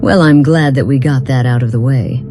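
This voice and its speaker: A woman voicing a weary, once-proud companion in a fantasy game, speaking in a formal, measured and reflective way. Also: extremely low pitch, over music. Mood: apologetic, reflective. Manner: formal, measured, solemn wording. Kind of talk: scripted dialogue for a fantasy game mod.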